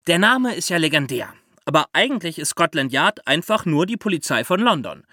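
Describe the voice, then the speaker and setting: Man, slightly high pitch, podcast host, conversational explainer, addresses listeners, dry close recording. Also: very animated, fairly quick, sharp tone, no echo. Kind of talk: explanatory storytelling for young listeners.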